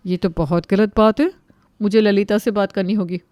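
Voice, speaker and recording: A woman's voice: medium pitch, fairly even intonation, moderate pace, a little mellow, no room sound, lightly acted. A woman voicing a stern, meddling older aunt who scolds indignantly in a family story.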